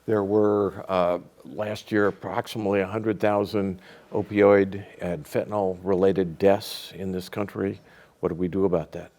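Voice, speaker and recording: An older man hosting a TV studio interview, asking measured, probing questions. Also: deep voice, little pitch movement, a little mellow. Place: small TV studio set.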